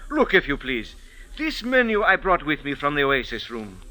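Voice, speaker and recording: A nervous, indignant sand diviner in a vintage radio play. His voice is piercing and rather high, swinging up and down as he pleads in flowery, evasive phrases. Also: big pitch swings, sharp tone. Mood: indignant, agitated. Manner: flowery, formal, halting pleading. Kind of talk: 1940s radio acting. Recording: vintage 1940s radio broadcast.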